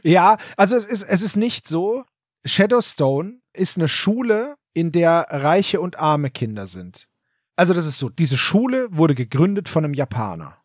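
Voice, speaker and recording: Male podcast co-host, fairly high voice, dry close sound, explains plot at length. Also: sing-song, unhurried, no room sound. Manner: explains plot details, reads aloud from the book. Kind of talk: casual chat.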